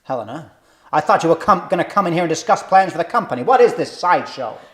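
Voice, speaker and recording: A man's voice: fairly high pitch, animated, quick pace, a bit bright. A man playing an indignant, baffled, blustering company board member in a table read of a boardroom drama. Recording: roomy, echoey indoor sound.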